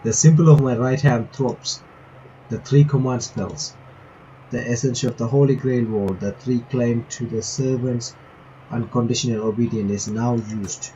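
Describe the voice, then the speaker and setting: Muffled, mellow man doing casual game commentary. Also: medium pitch, fairly even intonation, a little slow. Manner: informal, reacts aloud between read lines. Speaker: reaction channel host playing a visual novel. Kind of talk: casual playthrough commentary, reading game text aloud. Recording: muffled lo-fi mic with faint noise.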